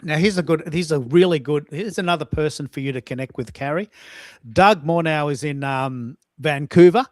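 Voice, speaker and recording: A man's voice: fairly high pitch, normal speed, no room sound, studio quality. A man hosting a casual live-stream chat, informal, rambling and blunt in his way of talking. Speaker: host, social media coach.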